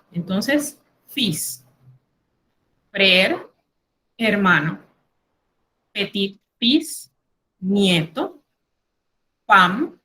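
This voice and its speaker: A woman's voice: medium pitch, sharp tone. A middle-aged woman teacher with a Panamanian Spanish accent, teaching an online class and carefully explaining word lists. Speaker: French teacher.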